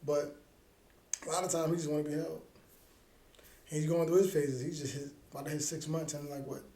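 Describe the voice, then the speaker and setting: Thirtysomething guy on a podcast, chill and cussing, mellow tone, echoey room, faint background noise. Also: on the high side, little pitch movement, fairly quick. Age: 30s. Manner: laid-back, profane, joking. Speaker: podcast co-host. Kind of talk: casual chat.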